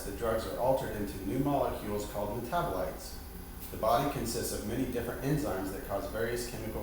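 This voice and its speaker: A man reading out a scripted educational presentation in a formal, instructional manner, as the one leading the lesson. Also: mid-range voice. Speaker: lesson presenter quizzing a partner. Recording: very echoey, distant mic, noisy background.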